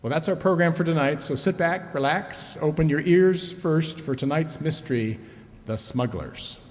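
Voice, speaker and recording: A man's voice: a little high, moderate pace. Male host speaking in a reverberant hall. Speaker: host introducing a radio players' show. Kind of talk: scripted stage introduction. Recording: reverberant hall, live stage.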